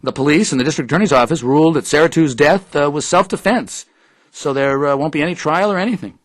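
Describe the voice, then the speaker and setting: An actor playing a hesitant, smitten reporter in an audio drama, with a halting, stammering delivery. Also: male voice, on the high side, no room sound, lightly acted. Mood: tentative. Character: hesitant, smitten male reporter living with his girlfriend.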